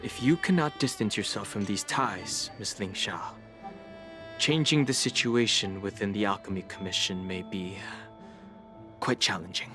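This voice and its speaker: A man's voice: fairly low pitch, moderate pace, close to an everyday voice. A man voicing a stoic, cautious young outsider in a video game, speaking formally and with reserve. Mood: guarded, advising. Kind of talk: English video game voice acting. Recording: loud music under the voice.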